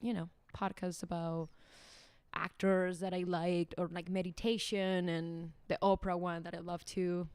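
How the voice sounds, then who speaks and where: A woman's voice: lowish voice, level tone, unhurried, a little mellow, clean tone, dry recording. Spanish-accented woman, dry close sound. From Ceuta, Spain. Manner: laughs often, repeats words while searching for English. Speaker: guest actress. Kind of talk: casual podcast chat.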